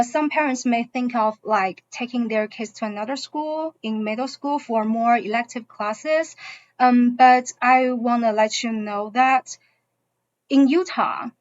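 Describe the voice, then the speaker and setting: A woman from China, a native Mandarin speaker with a Mandarin accent, explaining a school program to parents as a teacher in halting English. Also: on the high side, no echo. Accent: Mandarin Chinese. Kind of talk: informational presentation to parents. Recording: dull, muffled online-session audio.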